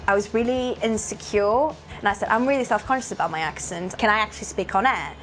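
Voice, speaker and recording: A woman with a Portuguese accent speaks earnestly in a documentary interview. Her voice sits at a medium pitch. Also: fast talker, a little hiss or hum, low-quality recording. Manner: earnest, grateful. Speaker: community radio presenter.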